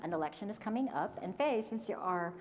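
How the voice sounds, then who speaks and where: A woman's voice: mid-range voice, animated, fast talker, a little mellow, a little hiss or hum. A woman co-hosting a conference stage, reading upbeat announcements about the event. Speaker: event co-host. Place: large conference hall. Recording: long hall reverb, faint ambience.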